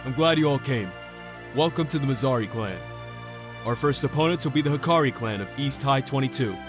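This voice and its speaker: A man's voice: medium pitch, lively intonation, brisk, lightly acted. A man playing a proud, irritable teenage clan leader in a visual novel, commanding and sarcastic. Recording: music behind the voice. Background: music.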